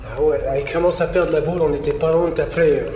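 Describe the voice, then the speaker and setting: A man's voice: a little high, steady tone, fast, mellow, natural voice. Man acting an uneasy guard in an echoing hall. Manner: hesitant, slangy, mumbling fillers. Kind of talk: audio drama acting. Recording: echoey hall, music underneath.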